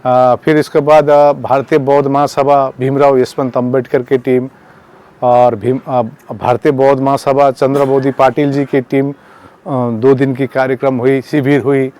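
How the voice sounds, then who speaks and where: A man speaking as a protest organiser, giving a campaign statement in a halting, repetitive way and mixing in English words. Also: mid-range voice, level tone, darkish tone. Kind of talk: spoken public statement on a campaign.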